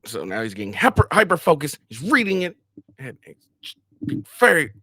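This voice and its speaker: A man's voice: on the high side, wildly swooping pitch, normal speed, bright, forceful tone, slightly rough, no room sound. A man hosting a commentary video, casually mocking a debate clip with plenty of filler words. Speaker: commentary channel host.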